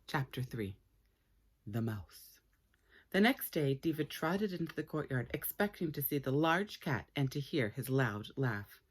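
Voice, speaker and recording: A woman reading a story aloud for children in an expressive, playful way with dramatic pauses. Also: extremely low pitch, slightly rough.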